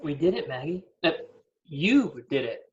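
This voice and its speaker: A man in a sitcom table read playing an awkward, self-satisfied boss with a halting, casual delivery. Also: fairly high pitch, big pitch swings. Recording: slightly muffled, dull audio.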